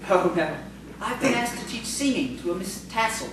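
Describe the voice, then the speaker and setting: A woman's voice: medium pitch, expressive, moderate pace, natural voice. Very echoey, timid schoolteacher played by a woman. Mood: nervous, courteous. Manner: hesitant, prim, courteous. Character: polite, timid, bookish male schoolteacher. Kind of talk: audio theatre acting. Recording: distant, echoey, noisy stage recording.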